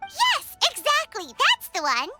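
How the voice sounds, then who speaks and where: A woman voicing a tiny, excitable, childlike fairy companion with a squeaky voice in an anime game dub. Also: a little slow, over loud music, full character voice. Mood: eager. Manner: exclamatory, speaks of self in third person.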